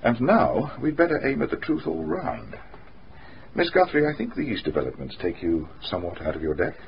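An actor playing a methodical, courteous detective inspector with quiet authority in a radio drama. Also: male voice, medium pitch, very animated, normal speed, gravelly. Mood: probing, assured. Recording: dull, noisy radio drama recording.

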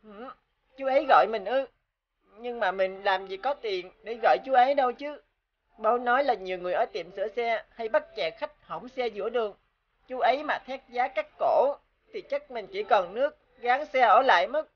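A woman's voice: on the high side, animated, moderate pace, highs cut off, lightly acted. A woman voicing a polite, earnest and slightly wary young schoolboy in a cartoon. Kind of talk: cartoon voice acting.

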